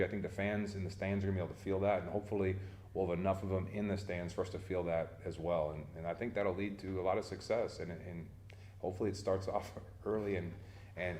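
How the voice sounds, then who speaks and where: A man's voice: deep voice, steady tone, fast, a little mellow, roomy sound, slight background noise. A man answering interview questions as a head baseball coach, earnest and often restarting his sentences. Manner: earnest, repeats words when starting sentences.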